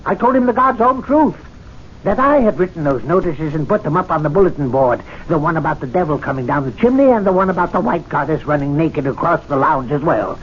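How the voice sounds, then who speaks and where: An actor performs a timid club attendant who mutters and schemes, his voice swinging widely in pitch and sitting a little high. It comes through a dull, hissy old radio broadcast. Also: fairly quick, close to an everyday voice. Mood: indignant. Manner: excitable, muttering, plotting aloud. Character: meek club attendant, middle-aged man, scheming. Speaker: lead actor in radio drama. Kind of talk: 1970s radio drama acting.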